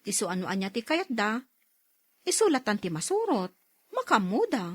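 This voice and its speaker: Actress as high-voiced, modest Ilocano woman. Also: sing-song, normal speed, husky, dry recording. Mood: bashful. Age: 30s. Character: modest, hardworking 31-year-old woman, bashful but frank. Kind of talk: radio drama dialogue.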